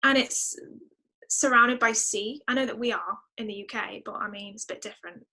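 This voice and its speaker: Woman, higher-pitched voice, clearly bright tone, casual interview guest, reflective, enthusiastic. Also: fairly even intonation, fairly quick, rough recording.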